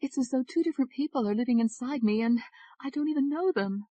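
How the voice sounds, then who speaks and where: A woman's voice: fairly high pitch, expressive, fast, warm tone, no room sound, pitched above the performer's own voice. A woman voicing a fragile young woman who speaks in a trembling, fearful way. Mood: frightened.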